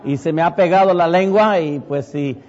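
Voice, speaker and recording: Middle-aged Puerto Rican pastor, Mexican-tinged accent, slightly high voice, rambling testimony with fillers, muffled sound with long church reverb. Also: male voice, normal speed, a little mellow. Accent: Mexican-tinged Puerto Rican Spanish. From Puerto Rico. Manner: rambling, many fillers and self-corrections. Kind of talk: testimony to a congregation. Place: church sanctuary.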